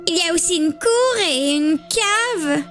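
Woman acting Karine, an anxious, very high-voiced girl. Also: expressive, takes it slowly, fairly bright tone, faint music behind, heavily acted. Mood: eager, anxious. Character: Karine, anxious young daughter showing the family house to buyers. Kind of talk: amateur dramatized audio story reading.

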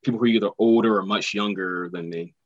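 A very low-voiced man talks as a guest actor, easing through answers with constant "you know" fillers. The sound is dry and close, but the audio is dull and lo-fi, like a muffled call. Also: steady tone, fast, no room sound. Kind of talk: casual interview answers.

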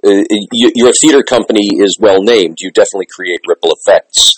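A man hosting a casual interview, effusive and halting, often repeating his words as he goes. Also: on the low side, husky, no room sound, poor audio. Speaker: interviewer, host.

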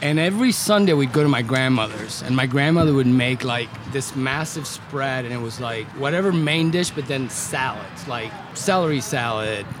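Man, from Chile, podcast guest, relaxed, hesitant, faint background noise. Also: mid-range voice, normal speed, hardly any pauses. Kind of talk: casual chat.

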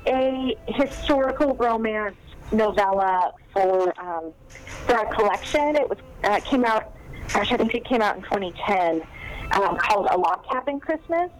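A woman's voice: mid-range voice, lively intonation, normal speed, a little hiss or hum, low-quality recording. A woman novelist chatting in an interview, talking loosely with many fillers and restarts. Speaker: novelist guest. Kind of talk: casual interview chat.

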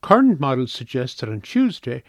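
A man's voice: mid-range voice, big pitch swings, normal speed, no echo, highs cut off. A man with an Irish accent reading a scripted weather forecast for radio.

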